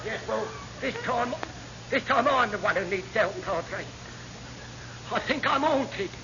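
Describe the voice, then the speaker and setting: An actor playing a hapless, roguish navy chief petty officer who rambles in cheeky, colloquial fashion in a classic radio sitcom. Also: male voice, sits high, measured pace. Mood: aggrieved, baffled. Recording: muffled, noisy old radio comedy recording.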